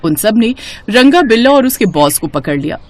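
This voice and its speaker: A woman narrating a story in an expressive storytelling style. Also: on the high side, normal speed.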